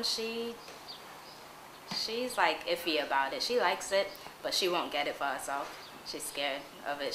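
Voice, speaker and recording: A young woman in her early twenties speaks with a low voice, pausing on "um" and "like." She is recorded in an echoey room with clearly audible background noise. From Queens, New York. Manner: hesitant, with many "um"s. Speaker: interviewee. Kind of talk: casual interview.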